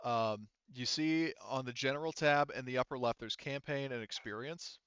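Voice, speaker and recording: A man acts as game master in casual, animated table talk. His voice is smooth and clear at a middle pitch. The recording is close and dry but a little dull. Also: moderate pace, dry recording.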